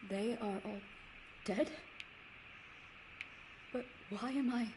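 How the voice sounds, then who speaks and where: Proud, solemn crusader knight, noisy audio. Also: female voice, a little high, slow. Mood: stunned, grieving. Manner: solemn, archaic formal phrasing. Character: proud righteous ancient female crusader knight, formal and devout. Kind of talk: scripted video game dialogue.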